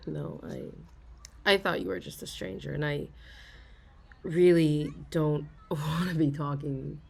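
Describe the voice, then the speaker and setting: A young woman in an ASMR role-play, confiding softly to a listener, giggling often and halting with restarts. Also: deep voice, animated, gravelly, husky. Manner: soft, giggly, self-conscious, halting with many restarts.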